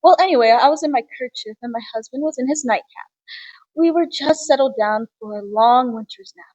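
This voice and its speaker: Chatty homely mum played by a woman, high and breathy, lyrical recollections, slightly muffled sound. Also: fairly quick, a little mellow, no room sound. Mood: chatty, fond. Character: Mrs Moore, homely chatty mother recalling a Christmas visit. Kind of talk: theatrical storytelling in an interview.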